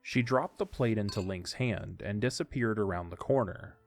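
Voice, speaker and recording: A man's voice: on the low side, normal speed, creaky. A man narrating a scripted audio drama, with music behind his voice.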